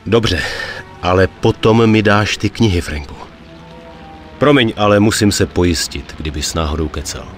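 A man's voice: fairly low pitch, lively intonation, faint music behind, natural voice. A man playing a terse, grave mafia enforcer with a conscience in a dubbed video game. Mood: stern, controlled. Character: stern, conflicted male mafia enforcer with a conscience.

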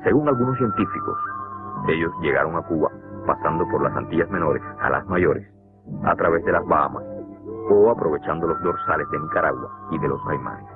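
A man with a Cuban Spanish accent giving measured, scripted documentary narration for a cartoon. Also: rumbling low, lively intonation. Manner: measured, explanatory. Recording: tinny lo-fi narration over music.

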